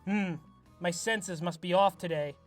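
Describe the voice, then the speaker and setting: Brief: a blunt, no-nonsense teen huntress, read by a man, flat delivery. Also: sits high, unhurried, soft background music. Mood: puzzled. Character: teen girl werewolf hunter, serious, blunt.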